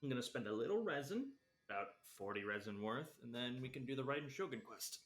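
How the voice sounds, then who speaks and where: A male livestreamer talks casually to his chat while gaming. His voice is bright and forceful, with very lively swings in pitch. He sounds informal and playful, recorded in a roomy, echoey space. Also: quick pace. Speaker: game livestreamer.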